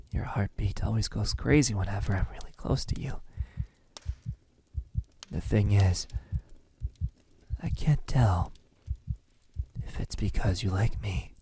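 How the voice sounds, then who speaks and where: A man playing a centuries-old vampire, lovesick and possessive, in a soft, intimate ASMR roleplay with halting, tender delivery that often drops to a whisper. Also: lowish voice, a little hiss or hum. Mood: tender, wistful. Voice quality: breathy, soft, husky. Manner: slow, hesitant, halting, tender.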